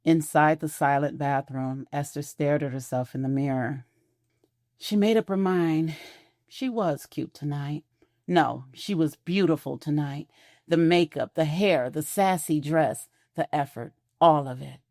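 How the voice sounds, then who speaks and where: A woman narrating an audio drama in a measured, intimate storytelling manner. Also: sits low, darkish tone, no echo, clean studio sound.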